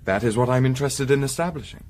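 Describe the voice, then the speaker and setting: An actor playing a composed gentleman investigator in a Victorian radio drama, speaking formally and measuredly. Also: medium pitch, quick pace, lightly acted. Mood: probing, cool. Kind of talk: period radio drama acting.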